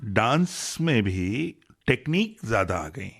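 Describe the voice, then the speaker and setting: A man's voice: on the low side, sing-song, takes it slowly, vocal fry, slightly rough. A man with an Indian accent conducting a conversational radio interview, addressing his guest politely and formally with some English words mixed in.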